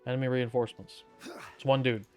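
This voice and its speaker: A man's voice: mid-range voice, level tone, brisk, a little mellow, soft background music. A man giving casual gameplay commentary as a streamer, with wry, offhand, muttered asides. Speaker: streamer playing a game.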